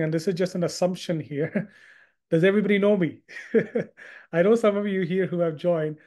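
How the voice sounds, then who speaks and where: A man in his thirties from Hong Kong speaks over a video call, teaching an online class. His voice sits a little high yet sounds mellow and relaxed. He is chatty, repeats himself and keeps asking listeners questions. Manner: chatty, repeats words, asks the audience questions. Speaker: Islamic studies teacher.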